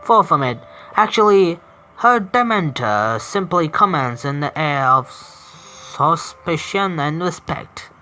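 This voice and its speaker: A man streaming a visual novel game, reading the narration aloud haltingly, stumbling over words and correcting himself. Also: fairly high pitch, lively intonation, sharp tone, faint music behind.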